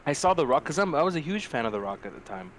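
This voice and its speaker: A young man co-hosting a podcast in a casual, animated, rambling and sweary chat about films. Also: fairly high pitch, racing, crowd noise. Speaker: podcast co-host. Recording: clearly noisy amateur podcast audio.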